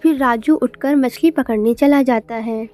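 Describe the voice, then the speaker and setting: A woman's voice: a little high, unhurried, darkish tone, soft background music. A woman reading scripted narration for a moral story, as a storyteller.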